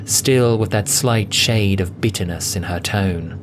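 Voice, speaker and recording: A man's voice: low voice, unhurried, background noise. A man narrating a scripted story as the narrator of an audio drama. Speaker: narrator, voice actor. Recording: music bed under voice. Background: music.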